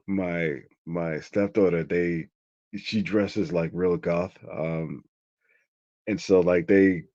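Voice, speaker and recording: A man's voice: deep voice, darkish tone, no echo. A middle-aged man telling a story in a casual, rambling way, full of "like". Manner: rambling, lots of "like". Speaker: interview guest. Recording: dull lo-fi sound.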